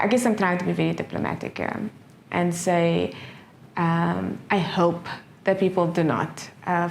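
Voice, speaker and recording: A middle-aged South African woman, a professor speaking as an interview guest, earnest and quick to laugh, often restarting her phrases. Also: fairly low pitch, gravelly, slight background noise. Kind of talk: casual interview answers.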